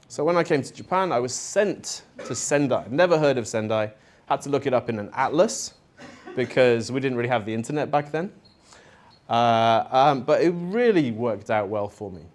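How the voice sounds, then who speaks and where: A middle-aged man speaks at a conference with an easygoing, conversational delivery. His pitch is neither high nor low, and he leans on fillers and friendly asides as he talks. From Spain. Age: 40s. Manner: casual and chatty, with fillers and asides. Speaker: conference presenter. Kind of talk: conference talk. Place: conference venue.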